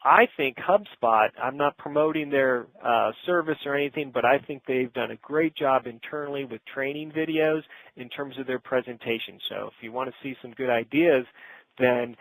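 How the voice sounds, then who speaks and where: A man's voice: mid-range voice, normal speed, fairly bright tone, no room sound, rough recording. Middle-aged man presenting a webinar, dry sound. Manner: conversational, instructive, addresses the audience directly. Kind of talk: informal business webinar presentation.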